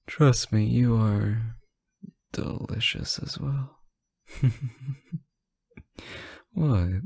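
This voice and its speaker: A man playing a sleep-deprived, teasing boyfriend on an intimate late-night call, soft-spoken, hesitant and gently joking. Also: medium pitch, vocal fry, no room sound, studio quality, exaggerated voice. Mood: playful, fond. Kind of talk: intimate audio roleplay, one side of a phone call.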